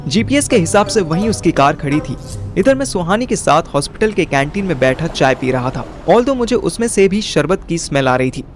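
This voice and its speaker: A man's voice: fairly high pitch, very animated, brisk, soft background music. A young man narrating an audio story in the first person in casual, slangy Hinglish, acting out his own dialogue. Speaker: narrator and lead character.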